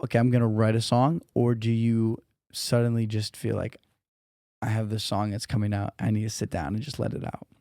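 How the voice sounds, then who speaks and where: A man hosting a podcast, asking long relaxed questions and laughing easily. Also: mid-range voice, clean tone, no echo, clean studio sound. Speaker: podcast host. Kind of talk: casual podcast interview.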